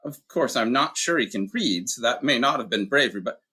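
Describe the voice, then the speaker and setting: A man voicing a calm, wise old male headmaster who speaks in a measured, dryly humorous way. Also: mid-range voice, breathy edge, pitched above the performer's own voice. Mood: wry. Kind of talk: audiobook character voice.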